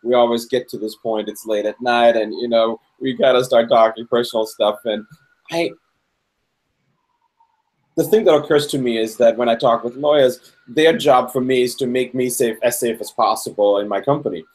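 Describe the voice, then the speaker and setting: A man's voice: medium pitch, moderate pace, a little mellow, rough recording. A middle-aged man from Austria hosting a live interview over a video call, curious and asking personal questions. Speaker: host. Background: fire engine passing.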